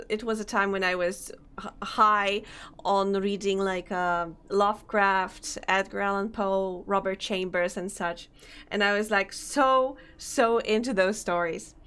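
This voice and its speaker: A young woman streaming a game, chatting casually, reading on-screen text aloud and laughing often, her voice slightly hoarse. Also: medium pitch, a bit bright. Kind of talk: casual let's-play commentary.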